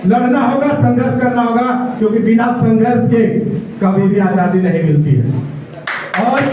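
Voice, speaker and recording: A man giving an impassioned public speech, with rhetorical questions and emphatic repetition. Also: very high-pitched. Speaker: speech-giver at an Independence Day event. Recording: echoey far-off mic, dull sound.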